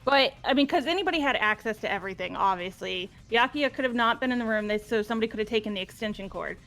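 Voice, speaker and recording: A woman's voice: fairly high pitch, lively intonation, fast talker, fairly bright tone, soft background music. A woman talking casually as she reasons through a game mystery with friends. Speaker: video game player discussing a mystery with friends. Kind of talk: casual group chat.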